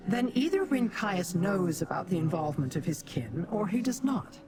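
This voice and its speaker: Haughty, animated demon warrior. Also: female voice, fairly low pitch. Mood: explanatory, resolute. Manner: formal, imperious, deliberate. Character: proud, haughty female demon warrior allied to a mortal. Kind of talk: fantasy game quest dialogue. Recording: clear background noise, game ambience.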